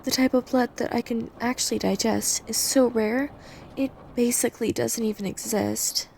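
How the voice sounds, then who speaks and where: A woman's voice: on the high side, fairly even intonation, normal speed, creaky, darkish tone, slight background noise. A woman voicing an obsessive, possessive, hungry vampire in an ASMR roleplay, speaking intimately and often dropping toward a whisper. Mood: rapt, craving. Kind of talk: ASMR roleplay audio drama.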